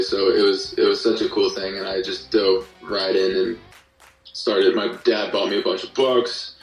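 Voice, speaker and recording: A man speaking as an interview guest in casual chat, haltingly rephrasing as he tells his own story. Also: sits low. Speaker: podcast guest, guitarist. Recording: roomy, distant mic, faint music.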